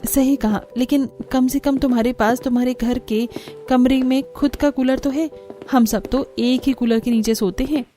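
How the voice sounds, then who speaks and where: Woman playing an earnest, high-pitched young daughter-in-law. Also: steady tone, normal speed, warm tone, soft background music, natural voice. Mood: weary complaint. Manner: pleading, earnest, protesting. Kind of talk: cartoon story character dialogue.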